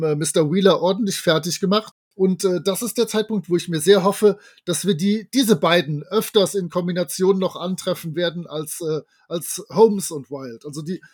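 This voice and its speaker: A man's voice: high voice, a little mellow, husky. A man, co-hosting a podcast in a casual, chatty retelling style. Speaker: podcast co-host. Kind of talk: casual discussion and retelling of an audio drama.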